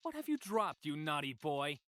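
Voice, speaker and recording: A woman's voice: sits low, sing-song, moderate pace. A woman playing a seductive, domineering male android escort in a visual novel game, commanding and teasing. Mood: taunting. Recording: loud music behind the voice. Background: game music.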